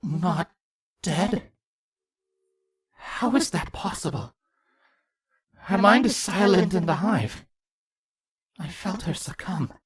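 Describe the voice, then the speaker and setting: Someone acting a vengeful bug queen mom, husky n slow, very grave and regal, dry recording. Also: medium pitch, lively intonation, no room sound, full character voice. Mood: shocked, pleading. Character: regal, vengeful changeling queen, a grieving mother. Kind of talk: dramatic fan fiction audio reading.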